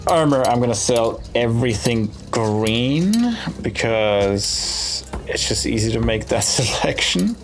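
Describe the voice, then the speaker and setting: A man talks through a video game at an unhurried, slow pace. He thinks aloud as he weighs his choices, in casual playthrough commentary. Game music plays clearly behind his mid-pitched voice. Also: expressive. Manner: thinking aloud while deciding. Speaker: gaming video host.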